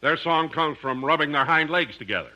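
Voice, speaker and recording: A man's voice: a little high, expressive, a little fast, bright, forceful tone, low-quality recording. A man acting as a polite, pedantic colleague on an old radio comedy, speaking precisely. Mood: politely pedantic.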